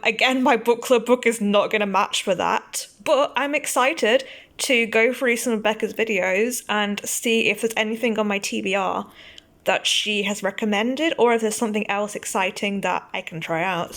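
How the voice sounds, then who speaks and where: Croaky-voiced young woman vlogging. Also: mid-range voice, fairly bright tone. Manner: chatty, rambling, lots of "um" and "I think". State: croaky from a cold. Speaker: book vlogger. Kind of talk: casual book wrap-up chat.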